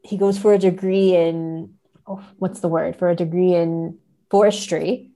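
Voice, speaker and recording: Female podcast guest, soft-edged dark tone, slightly low, analytical and feeling for words. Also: fairly even intonation, a little slow. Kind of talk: analytical literary discussion.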